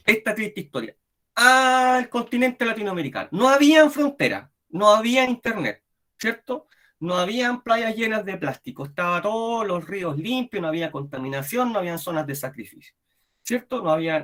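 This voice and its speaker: A man with a Chilean accent lecturing a history class online, constantly checking in with his students as he talks. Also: sits high. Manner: animated, keeps checking in with "¿cierto?" and "¿ya?". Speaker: history teacher.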